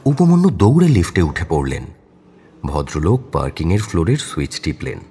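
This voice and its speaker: A man's voice: low-pitched, huge pitch swings, fairly quick, warm tone, a little hiss or hum. A man narrating a crime audio story in a dramatic, scripted storytelling style.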